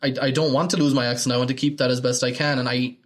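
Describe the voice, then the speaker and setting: A man's voice: mid-range voice, fairly even intonation, rapid-fire. Chatty Irish man in his twenties. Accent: Irish, from Galway. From Ireland. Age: 20s. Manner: chatty storyteller, acts out quoted people in raised voices. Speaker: podcast co-host. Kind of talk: casual podcast chat.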